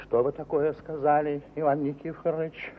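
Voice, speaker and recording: Man acting high-voiced, pompous, touchy gentleman. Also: little pitch movement, moderate pace, lightly acted. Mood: offended. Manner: indignant, ornate, mannered speech. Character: touchy, pompous provincial gentleman, proud of his rank. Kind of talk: mid-century radio play acting. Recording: dull 1950 radio recording.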